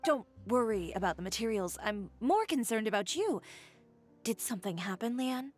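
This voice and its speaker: A woman's voice: on the high side, animated, normal speed, soft background music. A woman playing a poised young fashion designer in a video game, composed, frank and businesslike. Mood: calm, professional.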